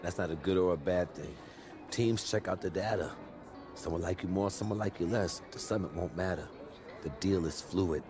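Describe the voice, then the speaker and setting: Low-voiced, assured sports agent played by a man. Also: moderate pace, music in the background, natural voice. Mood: calm, wry. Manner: assured, advisory, plain-spoken. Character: calm, mentoring male sports agent, wry and assured. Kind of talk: naturalistic video game cutscene acting.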